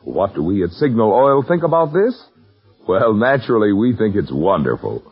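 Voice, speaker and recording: An emphatic male announcer in vintage 1940s radio style, selling with hugely varied intonation. His medium-pitched voice is a bit husky, on a muffled old recording. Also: sing-song, moderate pace, soft background music. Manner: emphatic, salesmanlike. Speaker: radio announcer and sponsor spokesman.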